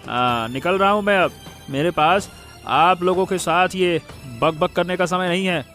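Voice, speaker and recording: A man acts out a scornful young farmer son in a moral cartoon. His voice sits high for a male, with a curt, sarcastic, nagging delivery. Music is clearly heard behind it. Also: measured pace, fairly bright tone. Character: gruff, scornful grown son, village farmer. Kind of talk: Hindi moral cartoon story dialogue.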